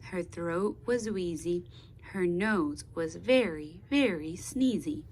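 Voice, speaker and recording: A woman reading a children's picture book aloud in an expressive, deliberate teaching way, pausing to put questions to young listeners. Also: on the low side, breathy edge. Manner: slow, deliberate, with pauses. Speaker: speech therapist.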